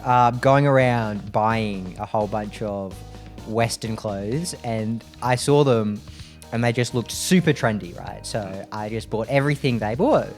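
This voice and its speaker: A man's voice: lowish voice, a little slow. A man, a player chatting casually in a tabletop game. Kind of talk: casual improvised group tabletop chat. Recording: music playing under the voice. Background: music.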